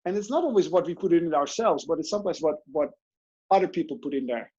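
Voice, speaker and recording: Dutch guy coaching over a video call, kinda husky, muffled audio, tells stories with voices. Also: a little high, fast. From Netherlands. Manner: animated, storytelling with quoted inner voices. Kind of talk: online interview.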